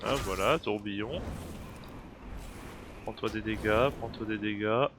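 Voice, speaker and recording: A man's voice: on the low side, unhurried. A man with a head cold talking through a video game, hesitant and full of fillers, puzzling over what happens. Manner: hesitant, many fillers and restarts. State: has a cold. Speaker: gameplay video creator. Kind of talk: casual gameplay commentary. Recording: noisy home recording.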